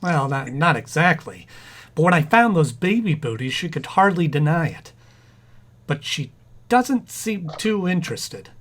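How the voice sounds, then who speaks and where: A man acting a doting, excitable, stammering husband in a 1940s-style radio comedy. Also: fairly high pitch, unhurried, exaggerated voice. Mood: harried.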